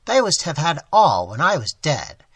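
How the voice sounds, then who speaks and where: A reader voicing a frail old male miser who cries out in high, shaking outbursts. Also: wildly swooping pitch, unhurried, pushed and bright, breathy edge, dry recording, studio quality, heavily acted. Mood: grieving reproach. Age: over 60.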